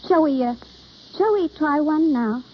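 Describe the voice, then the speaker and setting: An actress playing an eager, nervous, apologetic young amateur in an old-time radio drama, halting and earnest, on a dull vintage recording. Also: female voice, sits high, expressive, slight background noise. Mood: earnest, shy.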